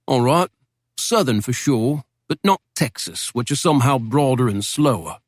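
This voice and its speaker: A man's voice: mid-range voice, animated, moderate pace, no room sound, clean studio sound, natural voice. A man voicing a deferential British barman who is eager to chat, in a storybook reading. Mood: eager, polite. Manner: deferential, formal.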